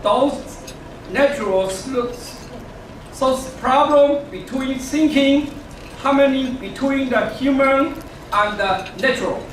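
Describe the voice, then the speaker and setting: Chinese-accented woman, formal speech, very slow delivery, distant mic in a booming hall with background noise. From China. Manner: slow, halting, searching for English words. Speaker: conference speaker. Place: large conference hall.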